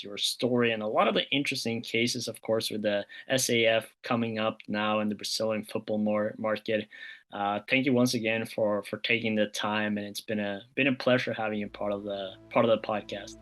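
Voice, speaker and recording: A man's voice: lowish voice, a bit bright. A man hosting a podcast, talking in an upbeat way with many "you know" fillers on a video call. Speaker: podcast host. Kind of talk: podcast interview.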